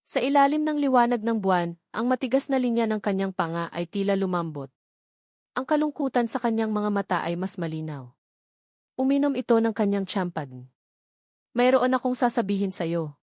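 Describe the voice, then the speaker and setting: A woman's voice: medium pitch, lively intonation, brisk, darkish tone, dry recording, studio quality. A woman reading a romance story as a dramatic scripted audiobook narration.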